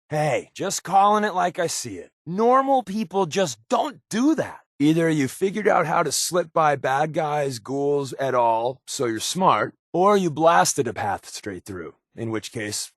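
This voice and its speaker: Glib, wisecracking undercover agent, lively pitch. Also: male voice, no echo, exaggerated voice. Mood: breezy, joking. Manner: glib, dry sarcasm, conversational. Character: wisecracking male undercover agent and companion, sly, sardonic. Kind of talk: video game companion dialogue.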